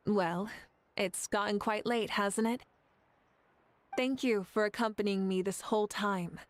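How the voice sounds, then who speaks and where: Composed, warm female leader played by an actress. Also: mid-range voice, fairly even intonation, slow pace, pauses often, close to an everyday voice. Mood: warm, reassuring. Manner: composed, reassuring, sincere. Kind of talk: anime video game voice acting.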